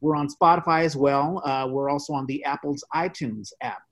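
A man hosting a podcast, reading out announcements in an informal, halting way. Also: on the high side. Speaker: podcast host. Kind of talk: casual podcast sign-off and announcements.

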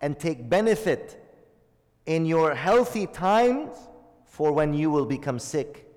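A man's voice: a little high, big pitch swings, slow, a little mellow. Male religious teacher with a slightly raised voice, questioning his listeners, recorded in a hall with long reverb. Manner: explains Arabic terms, asks the audience questions. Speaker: Islamic teacher. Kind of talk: hadith lesson to a congregation.

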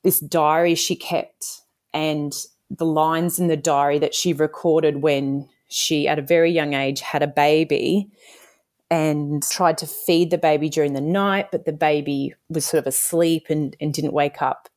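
A woman's voice: low voice, fairly even intonation, measured pace, darkish tone. A woman from Australia, an author, answering interview questions in a thoughtful, meandering way with many fillers and restarts.

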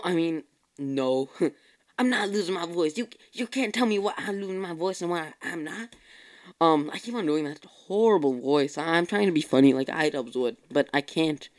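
A tired young man hosting his own podcast, chatting casually in a rambling way and often correcting himself. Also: a little high. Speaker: podcast host. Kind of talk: casual solo podcast chat.

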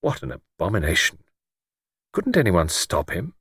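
A man's voice: low voice, huge pitch swings, moderate pace, fairly bright tone, breathy edge, no echo, studio quality, heavily acted. A man voicing a polite but outspoken younger English man, slightly nervous and punctilious. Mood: irritable. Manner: punctilious, blunt.

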